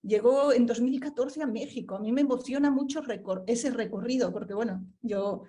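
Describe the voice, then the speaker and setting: Thoughtful woman with a mellow voice. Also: a little high, normal speed. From Spain (Barcelona). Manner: thoughtful, searching for words, with frequent fillers and tag questions. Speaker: book presenter, panelist. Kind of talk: book presentation talk.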